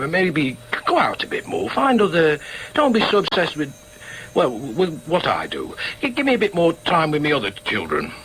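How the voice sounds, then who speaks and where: A middle-aged Northern English father in a radio drama, agitated and full of self-doubt. His voice sits fairly high and swings widely as he stammers and mutters to himself. There is noticeable noise behind him. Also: fairly bright tone, low-quality recording.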